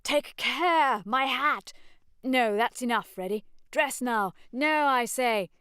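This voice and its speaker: A woman voicing a jovial middle-aged male country clergyman who calls out loudly. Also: on the high side, slow pace, clear, resonant tone, dry recording, studio quality, pitched above the performer's own voice. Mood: romping. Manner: calling out loudly in alarm.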